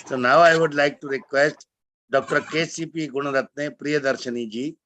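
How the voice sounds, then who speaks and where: A man hosting an online webinar, warmly welcoming speakers and repeating his phrases. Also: a little high, steady tone, normal speed, a little hiss or hum. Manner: effusive, repeats words. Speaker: webinar host. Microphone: video call.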